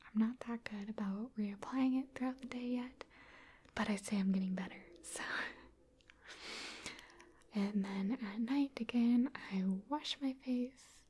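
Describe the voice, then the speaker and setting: A woman from Wisconsin whispers her way through viewer questions in a soft, unvoiced ASMR hush. Her tone is mellow and very breathy, and she chats in an easy, self-deprecating way. Nothing in her voice is pushed or sharp. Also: fairly high pitch, unhurried, pauses often. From Wisconsin, USA. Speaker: ASMR creator.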